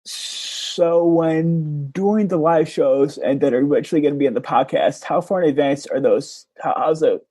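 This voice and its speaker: A man's voice: on the high side, moderate pace, hardly any pauses, mellow, husky. A man interviewing casually, with loose, meandering questions.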